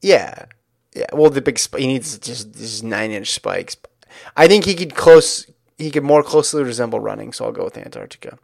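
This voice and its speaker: A man's voice: medium pitch, expressive, normal speed, gravelly, dry recording, clean studio sound. A middle-aged man hosting a podcast, chatting casually in an upbeat, rambling way. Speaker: podcast host. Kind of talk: casual chat.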